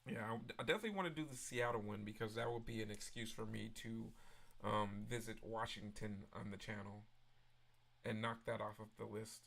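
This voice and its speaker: A man's voice: on the low side, fairly quick, creaky, a bit bright. A man from Memphis, hosting a live stream and chatting casually as he answers viewers' messages. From Memphis, Tennessee. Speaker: livestream host.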